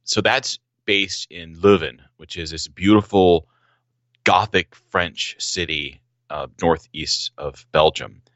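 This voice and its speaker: Male podcast guest, very deep yet bright and forceful voice, dry muffled remote audio. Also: fairly even intonation, slow, dry recording. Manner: enthusiastic storyteller, some ums. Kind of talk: casual podcast conversation.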